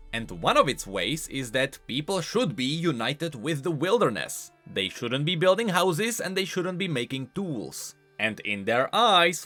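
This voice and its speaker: A man hosting a video game lore explainer, speaking in an animated, emphatic way. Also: fairly high pitch. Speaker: host of a game lore channel. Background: music.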